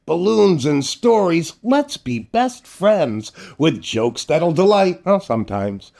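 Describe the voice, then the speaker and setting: A man's voice: a little high, very animated, slow, vocal fry, darkish tone. An older man with a playful, theatrical way of talking, hosting a storytime for children and reading aloud. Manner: playful, exaggerated, theatrical, addressing children directly. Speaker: children's entertainer, storytime host. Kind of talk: animated children's read-aloud and jokey intro.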